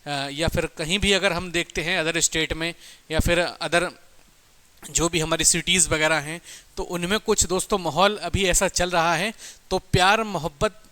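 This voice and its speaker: High-pitched, bright man coaching. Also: fairly even intonation, moderate pace. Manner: emphatic, repetitive, exhorting, addressing listeners as brothers and friends. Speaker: exam coaching teacher. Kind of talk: informal exam update and motivational coaching talk.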